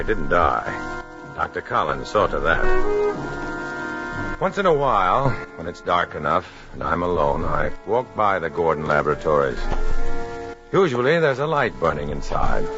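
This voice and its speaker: An actor playing a grim, lonely, hard-boiled undercover agent in a 1950s radio drama. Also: male voice, sits low, big pitch swings, normal speed, music in the background. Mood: brooding, lonely. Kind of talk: 1950s radio drama acting, first-person narration. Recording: muffled, noisy 1950s radio recording.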